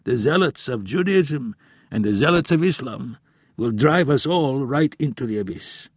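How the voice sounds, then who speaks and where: A man voicing a frail elderly male professor in an audiobook, with a raspy, slightly unsteady voice and a slow, grave, weary way of speaking. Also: mid-range voice, expressive, no echo, clean studio sound, voice pushed up. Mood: bitter. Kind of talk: audiobook character voice.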